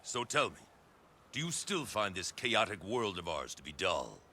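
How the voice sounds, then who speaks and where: A man's voice: deep voice, big pitch swings, normal speed, sharp tone, slight background noise, full character voice. An actor playing an ambitious male warlord in a video game cutscene, speaking with authority and probing persuasion. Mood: commanding.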